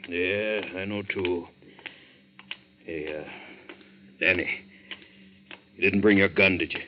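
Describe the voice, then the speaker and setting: A man's voice: sits low, steady tone, slow, a little hiss or hum. An actor playing an anxious, fatherly sea captain in a folksy, hesitant 1940s radio drama style. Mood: fatherly concern. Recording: muffled 1940s radio recording.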